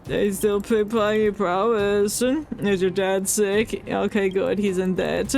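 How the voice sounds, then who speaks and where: A woman's voice: medium pitch, steady tone, takes it slowly, gravelly, darkish tone, slight background noise. A woman streaming a video game with casual, reactive commentary and playful, mock-earnest asides.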